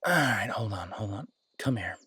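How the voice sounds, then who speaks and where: A man playing a confident, protective alpha werewolf boyfriend in an intimate roleplay audio drama, husky and soothing, teasing and reassuring in a casual way. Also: lowish voice, expressive, normal speed, very noisy, close to an everyday voice. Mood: earnest, soothing.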